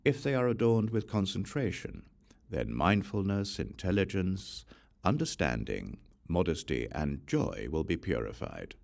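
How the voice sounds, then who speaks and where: A man with a very low voice narrates scripture as an audiobook reader. His intonation rises and falls widely from phrase to phrase, with a gravelly creak underneath. The delivery stays solemn and measured. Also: big pitch swings, a little mellow.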